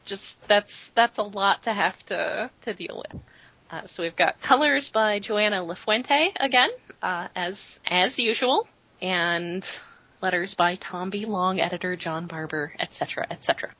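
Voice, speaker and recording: A woman hosting a podcast talks in a bright, somewhat husky voice. She rambles casually and fills her sentences with "uh" and restarts. The recording is dull and lo-fi, with a dry, close sound. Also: mid-range voice, measured pace, no echo. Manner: chatty and rambling, full of fillers. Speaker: podcast host. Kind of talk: casual chat, recapping comics.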